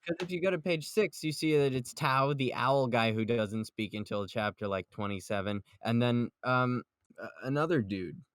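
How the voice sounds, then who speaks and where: A man's voice: medium pitch, normal speed, smooth, no room sound. Rambling, joking man in casual chat. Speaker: podcast host. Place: close voice chat recording.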